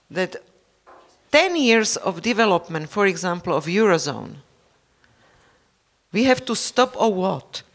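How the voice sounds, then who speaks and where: A man speaking as a politician on a discussion panel, slow and halting in non-native English. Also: high voice, fairly bright tone. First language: not English. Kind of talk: panel debate.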